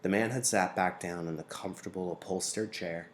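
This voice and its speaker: Low-voiced man reading a story aloud. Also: steady tone, a little fast. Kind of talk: storybook narration, read aloud.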